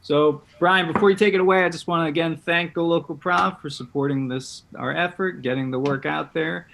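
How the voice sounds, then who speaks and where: A man's voice: on the high side, steady tone, a little fast, gravelly, noisy background. A man hosting a casual interview over a video call. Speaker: host from a theatre group, interviewer.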